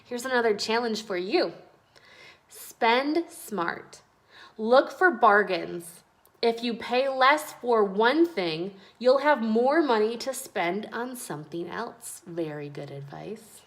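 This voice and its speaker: A woman reading a children's book aloud in an expressive, deliberate storytelling style. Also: a little high. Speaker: read-aloud reader.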